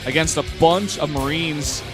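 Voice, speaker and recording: A man's voice: fairly high pitch, normal speed. A young man giving color commentary on a strategy game, laid-back and analytical, chuckling often. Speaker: esports co-caster. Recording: commentary over loud game music.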